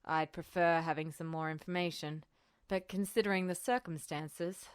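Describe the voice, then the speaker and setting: A woman voicing a guarded, weary male army colonel in an audiobook, speaking in a measured, deliberate way. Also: fairly low pitch, steady tone, clear, resonant tone, no echo, voice pushed down, lightly acted. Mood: measured, cautious.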